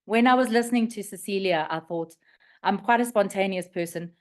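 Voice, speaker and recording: A woman in her fifties with a South African accent, hoarse and raspy from the flu, sharing her story on a group call. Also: mid-range voice, fairly quick. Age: 50s.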